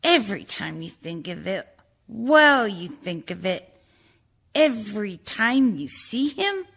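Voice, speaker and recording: A woman playing a disgusted, refined, resentful wife in a deliberate, indignant radio play monologue. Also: medium pitch, sing-song, creaky, fairly bright tone, exaggerated voice. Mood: bitter disgust.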